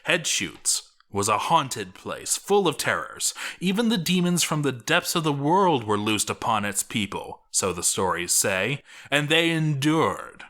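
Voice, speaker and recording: A man reading a scripted story as a dramatic narrator, theatrical and deliberate, with pauses for effect. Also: mid-range voice, sing-song, pushed and bright.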